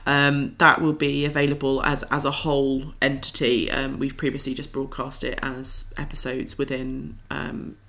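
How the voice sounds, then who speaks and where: A woman's voice: a bass voice, flat delivery, normal speed, hardly any pauses, fairly bright tone. A woman co-hosting a podcast, chatting in an informal, enthusiastic way. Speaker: podcast co-host. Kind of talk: casual scripted-free podcast chat.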